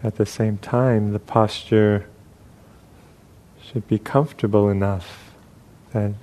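Man, meditation teacher, very slow delivery, long pauses, dark relaxed tone, gentle guided-practice style. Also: fairly low pitch.

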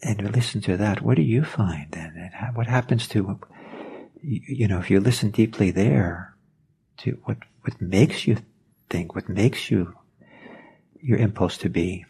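A man whose first language is Norwegian speaks in a low, dark, gravelly voice. He gives a quiet spiritual talk on listening. He pauses often and searches for his words. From Norway. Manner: thoughtful, hesitant, searching for words.

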